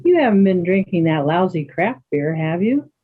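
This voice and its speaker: A woman playing a calm, dignified older yoga teacher in readers theater, chatting and gently probing with questions. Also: fairly low pitch, normal speed, slightly rough. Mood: chatty, probing. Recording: thin phone-like band-limited sound.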